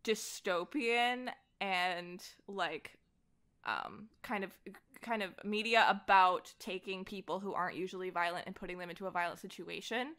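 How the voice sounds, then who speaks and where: Bright-voiced woman, chatty podcast guest. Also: medium pitch, steady tone, normal speed, clear, resonant tone. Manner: chatty, thinking aloud. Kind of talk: casual conversation about comics and manga.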